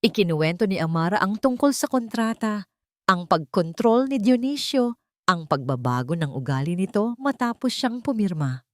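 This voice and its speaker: A woman's voice: medium pitch, very animated, normal speed, no echo, studio quality. A woman with a Filipino accent narrating a scripted dramatic story.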